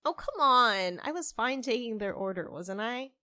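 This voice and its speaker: A woman's voice: on the high side, animated, moderate pace, sharp tone, no echo, studio quality. A woman playing a stressed, stubborn barista in an audio drama, with a wry, defensive, casual way of talking. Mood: frustrated. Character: stressed, stubborn half-human young-looking woman barista, grieving, wry.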